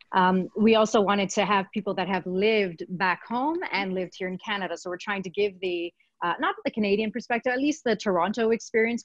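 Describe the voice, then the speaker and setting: A woman's voice: medium pitch, dry recording, dull audio. A woman hosting an online panel, introducing each guest in a chatty way with fillers. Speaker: host and moderator.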